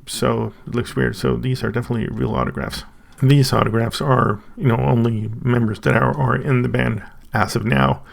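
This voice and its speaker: Gravelly man with a Swedish first language. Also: medium pitch, fairly even intonation. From Sweden. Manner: halting, thinking aloud with many restarts. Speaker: channel host. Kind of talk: casual unboxing review.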